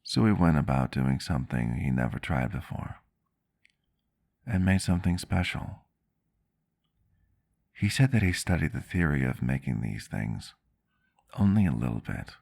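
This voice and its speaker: Guy doing a kindly vampire doctor for asmr roleplay, really low gravelly voice, measured and earnest, kinda formal. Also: lively intonation, darkish tone, exaggerated voice. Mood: wistful, reflective. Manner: measured, reflective, formal wording. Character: gentle, earnest male vampire doctor caring for a housemate. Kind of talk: ASMR roleplay, soft intimate storytelling to the listener.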